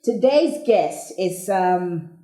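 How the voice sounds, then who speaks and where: A woman hosting a podcast in a casual interview chat, bubbly and quick to react with exclamations. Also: on the low side, expressive, dark tone. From Zambia. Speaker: podcast host. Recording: roomy echo.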